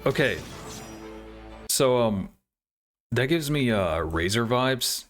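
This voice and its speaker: A man's voice: medium pitch, animated, slow pace, faint music behind. A man hosting a reaction video, commenting casually and thinking aloud in an informal, easily amazed way.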